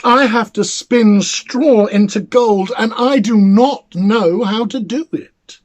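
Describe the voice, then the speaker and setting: A poor young fairy-tale maiden, voiced by a man, speaks in plaintive distress. The voice is lifted high above a natural male register and stays slightly husky. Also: takes it slowly. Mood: despairing. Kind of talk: storybook character reading.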